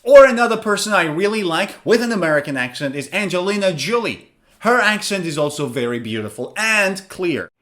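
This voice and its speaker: Lively high-voiced man teaching pronunciation. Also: a little fast. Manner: animated, questions then answers himself. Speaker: English teacher. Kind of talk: pronunciation lesson.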